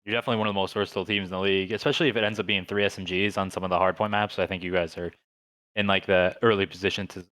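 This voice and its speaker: A man's voice: sits low, little pitch movement, racing, sharp tone, no room sound. A man co-hosting a podcast, chatting casually and analyzing the game with lots of "like" and "you know". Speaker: podcast co-host.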